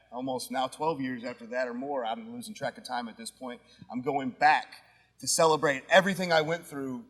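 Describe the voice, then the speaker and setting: A man's voice: mid-range voice, fairly bright tone. A man in his thirties from Kentucky, a wrestler talking earnestly in a rambling, somewhat hesitant way during an interview. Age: 30s. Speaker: professional wrestler. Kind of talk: casual interview.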